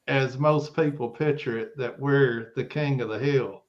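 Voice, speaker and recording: A man's voice: mid-range voice, unhurried. Folksy man, slightly muffled sound. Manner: folksy, plain-spoken. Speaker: podcast guest, Bigfoot investigator. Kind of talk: casual conversational discussion.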